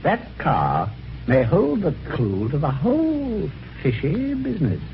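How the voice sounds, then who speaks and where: An actor playing a well-mannered, slightly dim British husband in an old-time radio mystery, talking in a chatty, hesitant, old-fashioned way. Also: male voice, a little high, huge pitch swings, slow pace, vocal fry, exaggerated voice. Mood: flustered. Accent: British English. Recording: dull, faintly noisy vintage radio recording.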